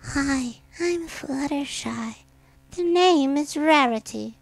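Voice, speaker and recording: A polite, girlish cartoon pony , as a male narrator puts her on. The pitch sits very high. The recording is dry and close. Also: slow, creaky, no room sound, heavily acted. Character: polite, apologetic female cartoon ponies. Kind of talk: amateur dramatic reading of fan fiction.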